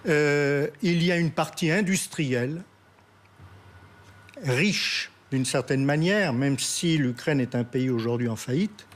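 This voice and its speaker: A male analyst comments on politics without a script, in a somewhat high-pitched voice. He speaks haltingly, searching for words and repeating parts of his phrases. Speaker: studio guest analyst.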